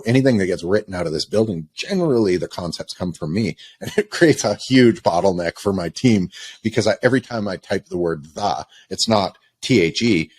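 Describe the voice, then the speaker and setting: A Canadian man hosting a podcast in a studio, talking in an energetic, joking, confessional way. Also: low-pitched, husky. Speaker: podcast host. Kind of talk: casual podcast chat.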